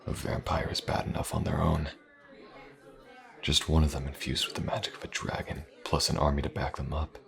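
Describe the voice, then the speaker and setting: An actor playing a gruff, wary, self-assured mercenary swordsman in a fantasy audio roleplay, speaking in a dry, terse way. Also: a bass voice, little pitch movement, a little fast, crowd noise, full character voice. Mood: grim.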